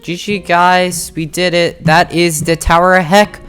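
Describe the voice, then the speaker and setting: A casual gameplay guide host giving tutorial commentary in an informal, halting way, thinking aloud. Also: mid-range voice, little pitch movement, fairly bright tone, clear, resonant tone. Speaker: game guide video host. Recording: music behind the voice. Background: music.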